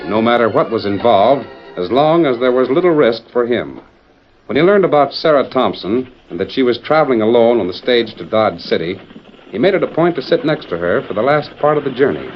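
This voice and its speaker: Dramatic old-time American radio announcer man. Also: mid-range voice. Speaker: announcer and narrator. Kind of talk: dramatic 1940s radio narration. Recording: muffled, noisy old radio broadcast.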